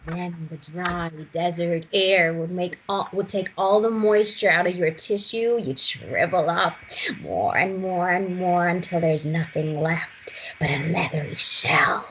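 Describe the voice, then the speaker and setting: A woman's voice: fairly low pitch, a little slow, fairly bright tone, poor audio. A woman acting a morbid teenage girl telling an eerie ghost story at a table read. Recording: noticeable background noise.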